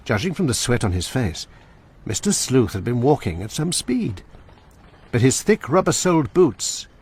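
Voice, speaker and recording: Male narrator, husky, measured, lively pitch. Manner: measured, storytelling. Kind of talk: scripted drama narration.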